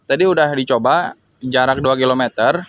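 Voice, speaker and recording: A man reviews a product in an informal, explanatory way. His voice sits at a middling pitch. The recording carries clear background noise. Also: normal speed. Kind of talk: casual spoken product demonstration.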